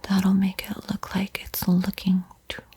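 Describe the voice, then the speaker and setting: A woman whispers painting instructions in a soothing, encouraging ASMR style, with a breathy, airy voice. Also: mid-range voice, monotone, unhurried, warm tone. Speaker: art tutorial host.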